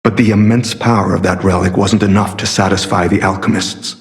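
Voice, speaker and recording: A secretive masked hunter from a video game, with a fairly low voice and a creaky edge. He speaks formally and gravely, choosing his words with earnest care. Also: steady tone. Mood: grave, resolute. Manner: measured, formal, solemn. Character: secretive, earnest masked warrior hunting corrupt alchemists. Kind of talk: scripted game character dialogue.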